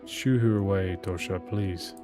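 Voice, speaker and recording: A man's voice: low-pitched, steady tone, measured pace, over loud music. A man reading a visual novel's narration and dialogue aloud as a gaming host.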